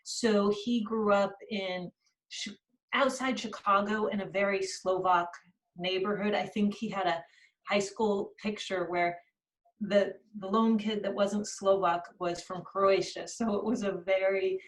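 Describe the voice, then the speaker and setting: Woman author from california, chatty, sounds a little far from the mic, middle-pitched voice on a video call. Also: steady tone, a little slow. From California, USA. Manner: chatty, with fillers and restarts. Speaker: author and journalist. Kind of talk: book reading, then interview answers.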